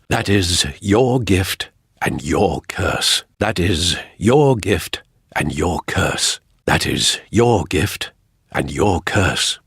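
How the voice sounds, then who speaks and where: Old british butler, low and very husky, formal worried advisor, game. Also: male voice, dry recording, studio quality, exaggerated voice. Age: older. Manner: formal, measured, solemn. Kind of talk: video game voice acting.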